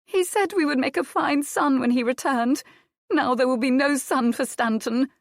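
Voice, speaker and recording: A woman's voice: medium pitch, normal speed, no echo, clean studio sound, voice pushed up, lightly acted. A woman reading an audiobook, voicing a grieving young widowed noblewoman who pleads through tears. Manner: sobbing, tearful pleading. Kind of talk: audiobook character voice.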